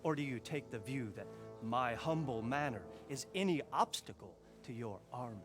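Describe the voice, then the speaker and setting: An actor playing a courteous, shrewd castle lord in a video game, speaking in a measured, drily ironic way. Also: male voice, mid-range voice, lively intonation, music in the background, close to an everyday voice. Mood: courteous, guarded. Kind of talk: scripted video game cutscene acting.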